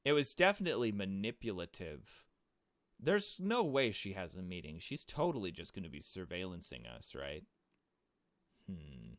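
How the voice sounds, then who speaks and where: A man's voice: low-pitched, sing-song, normal speed, dry recording, clean studio sound. A man giving casual gameplay commentary, thinking aloud as he goes. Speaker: gaming video host.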